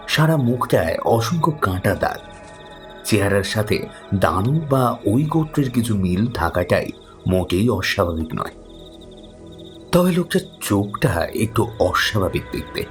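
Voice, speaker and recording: A man narrating a scripted horror story in a grave, suspenseful manner. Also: on the low side. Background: background music.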